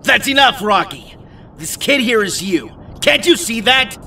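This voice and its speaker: A man's voice: very high voice, lively intonation, measured pace, bright, forceful tone, slight background noise, heavily acted. A man playing an older, weary but principled resistance captain in an audio drama, grave and commanding. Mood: urgent, commanding.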